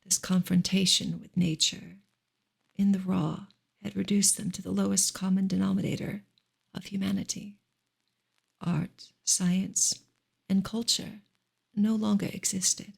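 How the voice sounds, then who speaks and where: A woman reads literary prose in a soft ASMR style, her voice heavy with vocal fry and breathy around the edges. The intonation stays fairly even and gentle, slipping at times toward a whisper as she narrates in measured phrases. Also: medium pitch. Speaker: ASMR reader and channel host. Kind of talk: soft ASMR reading of a novel.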